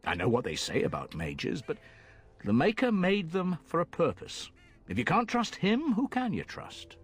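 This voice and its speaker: A man's voice: high voice, wildly swooping pitch, moderate pace, husky, exaggerated voice. An actor playing a plain-spoken, folksy commoner ferryman in a fantasy video game, chatting in rustic, colloquial speech. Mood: earnest, respectful.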